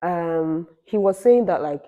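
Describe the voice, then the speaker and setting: A woman's voice: on the low side, animated, slow, mellow. A young woman from Nigeria co-hosting a casual podcast chat, talking emphatically and rambling with restarts. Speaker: podcast co-host.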